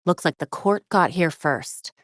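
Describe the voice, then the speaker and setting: A young female vigilante detective for a video game. She is determined and quick-witted, crisp and earnest with dry, wry asides. The sound is close and dry, with no room around it. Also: lowish voice, expressive, dry recording. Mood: focused. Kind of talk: video game voice acting, short scripted lines.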